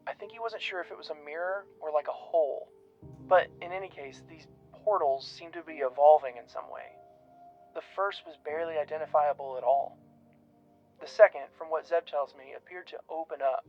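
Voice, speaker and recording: An actor playing an earnest paranormal researcher in an audio drama, giving a formal, detailed account on tape. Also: male voice, on the high side, lightly acted. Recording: muffled radio-like tape, music behind.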